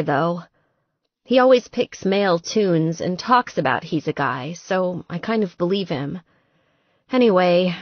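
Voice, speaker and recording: Audiobook role: female reader as sweet young gamer woman, distressed and exasperated, very expressive pitch, dry close sound. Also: no echo, highs cut off. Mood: hesitant explaining. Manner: plaintive, exasperated. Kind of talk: audiobook character dialogue.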